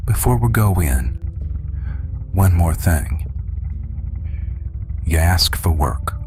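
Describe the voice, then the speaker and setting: A man's voice: sing-song, soft background music, heavily acted. A man playing a brooding, protective alpha werewolf rancher boss in an intimate ASMR romance roleplay, murmuring in a terse, commanding way. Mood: stern, commanding. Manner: terse, commanding, murmuring low with long pauses.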